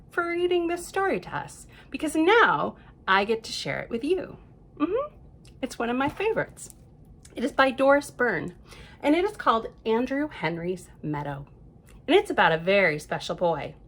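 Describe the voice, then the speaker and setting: A middle-aged American woman hosting a children's storytime, talking and reading aloud in a gentle, reassuring manner. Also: a little high. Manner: gentle, reassuring, addressing young children with rhetorical questions and affirmations. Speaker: library storytime reader.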